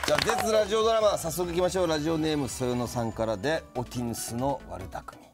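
Fast-talking male radio host. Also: a little high, loud music behind the voice. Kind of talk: brisk radio introduction.

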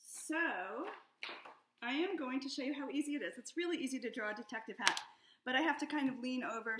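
A woman's voice: high-pitched, a bit bright, slight background noise. A middle-aged woman, a children's book author, giving a friendly, encouraging step-by-step drawing lesson for kids from her home. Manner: upbeat, encouraging, step-by-step explaining. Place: home room with high ceiling. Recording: echoey room.

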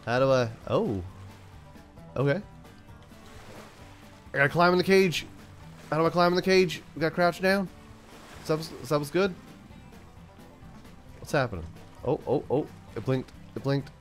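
A man hosting a gaming channel, chatting playfully through a let's-play, stuffed up from a cold and now and then yelling out excited bursts. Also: on the high side, a little slow, pauses often, soft background music. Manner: playful, talks to himself, yells bursts like "send it". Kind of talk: casual let's-play commentary.

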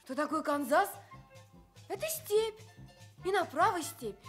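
A woman's voice: very high-pitched, animated, unhurried. A woman playing a friendly, open young farm girl in a children's radio play. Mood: bright, proud. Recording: studio radio play with music.

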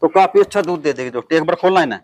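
Casting: man in his thirties, slightly high voice, dry close lapel sound, brisk host. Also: darkish tone, no echo. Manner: brisk, affirming with repeated short agreements. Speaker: dairy video host. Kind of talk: on-farm livestock walkthrough. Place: outdoor farmyard.

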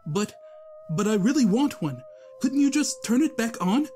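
Sardonic skinny young cartoonist, played by a man, narrating his own dreary nights with theatrical mock-grandeur. His mid-pitched voice leaps up and down expressively. Music plays behind him. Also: big pitch swings, normal speed, a little mellow. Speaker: narrator and lead.

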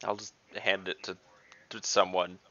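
Player, man, low gravelly bright voice, dry humor, lo-fi call with faint hiss. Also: flat delivery, moderate pace. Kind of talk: casual chat in a tabletop game. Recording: dull lo-fi call audio with faint noise.

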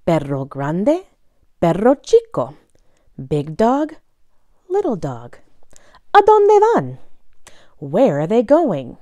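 A woman reading a children's picture book aloud in a bilingual read-aloud style, expressively and deliberately, phrase by phrase. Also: mid-range voice, wildly swooping pitch, warm tone, no echo, studio quality.

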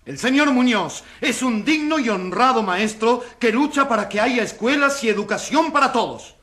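A man's voice: very high voice, lively intonation, normal speed, sharp tone, heavily acted. A man playing a righteous, insistent journalist who pleads emphatically in a radio courtroom drama. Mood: urgent protest. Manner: emphatic, theatrical. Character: righteous crusading male journalist, insistent and defiant.

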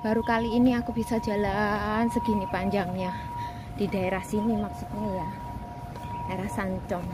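A woman's voice: medium pitch, level tone, moderate pace, loud music behind the voice. A woman in her thirties with a Javanese accent, chatting casually to her viewers in a friendly vlog, filming on a phone at arm's length. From Indonesia. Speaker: vlogger, migrant domestic worker. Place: outdoors by a city street and park. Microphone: phone held at arm's length. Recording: phone recording with street noise.

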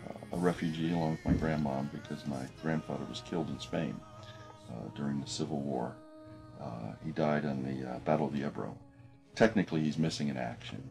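A man in late middle age with an extremely low, mellow voice reflects on his life in an office interview, recorded from across the desk. He pauses often and restarts his sentences. Faint music plays underneath. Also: gravelly. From United States. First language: French. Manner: thoughtful, halting, many fillers and restarts. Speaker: business professor.

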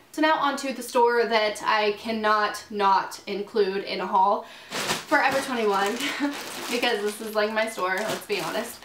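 A woman's voice: medium pitch, unhurried, fairly bright tone, roomy sound, slight background noise, poor audio. A young woman vlogging to camera from her bedroom, chatty and upbeat, full of fillers, still getting over a cold. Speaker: fashion vlogger. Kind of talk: casual haul vlog to camera. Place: small bedroom, indoors.

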